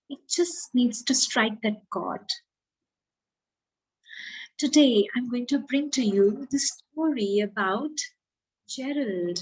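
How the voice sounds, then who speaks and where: A woman with an Indian accent who speaks deliberately, as a children's storyteller addressing young listeners expressively. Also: on the high side, slightly rough, poor audio. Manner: slow, deliberate, child-directed delivery with drawn-out words. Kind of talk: expressive read-aloud for children.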